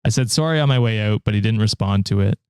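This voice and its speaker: A young man hosting a podcast, reading a story aloud and chatting about it in a relaxed, dry way. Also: lowish voice, fast, clear, resonant tone, dry recording. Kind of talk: story reading with casual chat.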